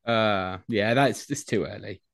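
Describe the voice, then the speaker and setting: A man co-hosting a comedy podcast, teasing his friend with playful banter and quick comic riffs. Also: fairly low pitch, creaky. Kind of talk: casual chat.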